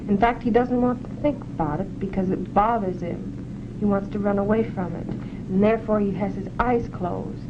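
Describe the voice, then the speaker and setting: Female test subject, simple and insistent, slow at times; muffled, hissy 1940s film audio. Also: medium pitch. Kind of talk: unscripted answers in an experiment.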